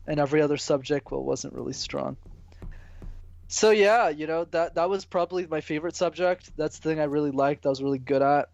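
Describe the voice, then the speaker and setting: A man's voice: on the high side, no room sound. Laid-back Canadian man, dry muffled audio. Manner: casual, relaxed, uses mild slang. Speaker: guest, public speaking coach. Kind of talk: conversational interview answers.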